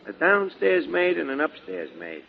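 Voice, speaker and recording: An actor playing a brash, streetwise schemer in 1940s radio comedy, with mangled grammar and stumbling hesitations, on a dull old recording. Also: male voice, high voice, moderate pace, vocal fry. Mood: brash, boastful. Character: brash, malapropism-prone male schemer and wise guy.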